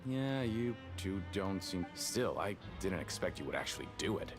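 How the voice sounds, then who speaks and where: A man's voice: sits low, very animated, moderate pace. A man voicing a brash, blunt fellow from a fighting tournament in a video game. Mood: defensive. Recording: game music behind voice.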